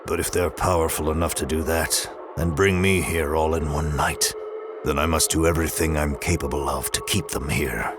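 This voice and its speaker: A man's voice: extremely low pitch, steady tone, normal speed, music in the background. A man playing a sinister, scheming villain in a horror audio drama, speaking formally. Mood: calculating.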